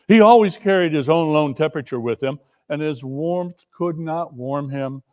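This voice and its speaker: A man narrating a classic story theatrically, leaning deliberately on key words. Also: medium pitch, a little mellow. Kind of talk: dramatic reading of a classic story.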